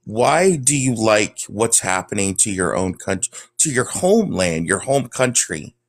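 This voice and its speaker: A man asking halting questions with frequent ums in an online debate. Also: fairly low pitch, big pitch swings. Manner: hesitant, many fillers. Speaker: debate panelist.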